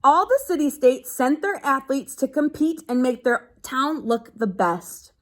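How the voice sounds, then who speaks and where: A woman reading a children's book aloud like a teacher, pausing to explain things simply as if to young pupils. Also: high-pitched, animated. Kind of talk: read-aloud lesson with simple explanatory asides.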